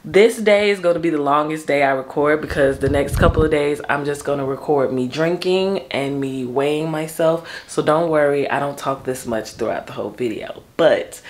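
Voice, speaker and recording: Casual female vlogger, American, low gravelly morning voice, candid and informal, some household noise behind. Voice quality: deep early-morning voice. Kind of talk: casual chatty vlog to camera. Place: home kitchen and upstairs rooms, indoors.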